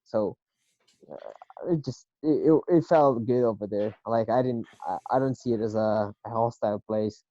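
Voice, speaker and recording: A man in his late twenties with an Israeli accent, a native Hebrew speaker, answering interview questions in a halting way with many fillers. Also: on the low side, mellow, lo-fi sound. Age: late 20s. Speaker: guest boxer.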